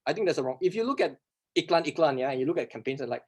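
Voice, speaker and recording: A man who is not a native English speaker answers workshop questions, talking fast and emphatically, often asking and answering his own rhetorical questions. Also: on the high side, expressive. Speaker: workshop instructor.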